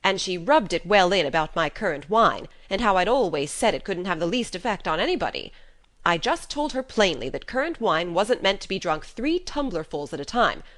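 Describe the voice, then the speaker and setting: A woman's voice: fairly low pitch, very animated, moderate pace, fairly bright tone, voice pushed down. A woman voicing a blunt, strict older spinster guardian in a storybook reading. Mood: scolding. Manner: blunt and scolding.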